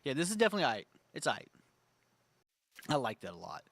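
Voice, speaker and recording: A man's voice: medium pitch, wildly swooping pitch, fast, creaky, a bit bright, no room sound. Congested young man rambling about games. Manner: rambling, filler-heavy, enthusiastic. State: congested, clearing throat often. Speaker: gaming channel host. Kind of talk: casual tier-list chat.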